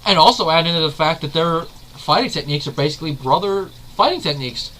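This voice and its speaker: A man's voice: fairly high pitch, expressive, quick pace, fairly bright tone, slight background noise, rough recording. A man hosting a video, giving casual, rambling fan commentary and correcting himself as he goes. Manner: rambling, leans on the filler "basically"; analytical, self-correcting. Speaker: video host.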